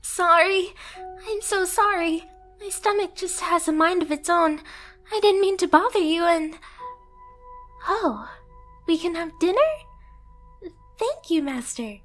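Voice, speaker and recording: A woman's voice: very high-pitched, slow pace, long pauses, fairly bright tone, soft background music, exaggerated voice. A woman playing a timid, clumsy young catgirl pet who is eager to please, speaking in a meek, hesitant, apologetic way in an anime-style ASMR roleplay. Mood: flustered, apologetic.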